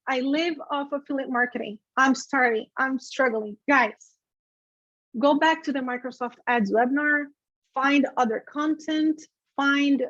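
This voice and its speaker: High-voiced woman, native Portuguese speaker, dull audio. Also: fairly bright tone, no room sound. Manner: conversational, emphatic, checks in with "okay?" and "right?". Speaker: webinar presenter. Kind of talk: informal instructional webinar talk.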